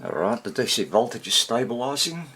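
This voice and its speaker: A man giving a casual hands-on repair demonstration, muttering to himself and pausing between short phrases as he thinks aloud. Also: medium pitch, big pitch swings, bright, forceful tone, breathy edge. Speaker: hobbyist radio repairer presenting his workshop video.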